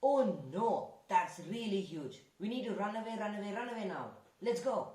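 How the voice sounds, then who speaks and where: A woman voicing an excitable, fearful animal in a children's puppet show, with exclamatory, repetitive lines. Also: medium pitch, moderate pace, lightly acted. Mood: alarmed. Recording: echoey room, distant microphone.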